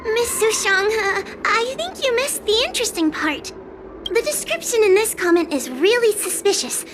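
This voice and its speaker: A woman's voice: very high voice, sing-song, moderate pace, noisy background. A woman playing a timid but dutiful young fox girl in a video game, hesitant and polite. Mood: nervous, concerned. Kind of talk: video game cutscene voice acting. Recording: game music under the voice.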